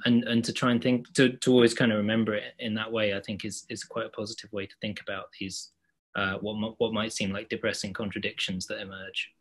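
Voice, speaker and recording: A man's voice: lowish voice, fairly bright tone. Thoughtful man on a video call. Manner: thoughtful, repeats words while searching. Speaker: panelist. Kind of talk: online panel discussion. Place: home room. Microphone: laptop mic on video call.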